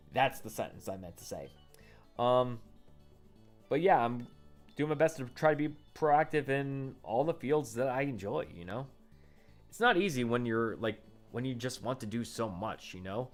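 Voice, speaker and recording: A man in his late twenties chatting casually into a condenser microphone for his vlog, rambling and correcting himself with lots of fillers. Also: fairly low pitch. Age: 20s. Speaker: YouTuber. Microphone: condenser studio microphone.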